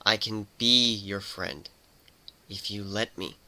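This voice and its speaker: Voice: sits low, steady tone, slow, bright, forceful tone, heavily acted, low-quality recording. A performer voicing a nervous, earnest male pegasus pony who stammers and hesitates in a dramatic story reading. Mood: soothing. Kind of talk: dramatic fan fiction reading.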